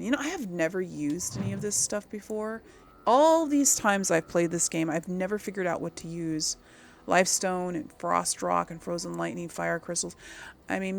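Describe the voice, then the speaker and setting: Chatty woman with a creaky voice. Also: fairly low pitch, a little hiss or hum. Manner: chatty, thinking aloud. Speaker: gaming video host. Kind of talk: casual game playthrough commentary.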